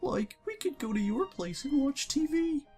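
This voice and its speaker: A man voicing a goofy, hungry young cartoon slacker sidekick in a high, cracking, drawling voice. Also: very animated, moderate pace, darkish tone, faint music behind, heavily acted. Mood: casual suggestion. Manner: drawling, slangy.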